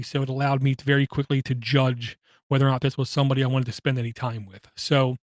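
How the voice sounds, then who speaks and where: A man hosting a podcast, talking through personal matters in a halting way, with many pauses and fillers and an occasional stutter. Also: medium pitch, no room sound. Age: adult, has kids. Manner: halting, frequent ums and uhs, slight stutter. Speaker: podcast host. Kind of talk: personal monologue.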